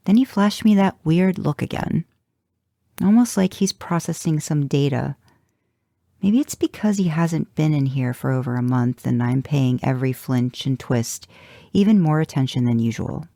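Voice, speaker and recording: Female narrator for first-person fiction: mellow, fry-edged, wry, confiding, dry close sound. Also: low voice, dry recording. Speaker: podcast host reading fan fiction. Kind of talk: first-person scripted narration, expressive storytelling.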